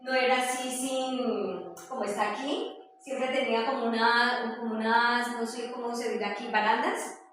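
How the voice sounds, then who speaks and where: A woman's voice: fairly high pitch, fairly even intonation, moderate pace, fairly bright tone, soft background music. Echoey, distant Colombian woman presenting. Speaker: presenter sharing her hometown memories. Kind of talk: informal spoken presentation. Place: echoey indoor room with a small audience. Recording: very echoey room, distant mic, faint noise.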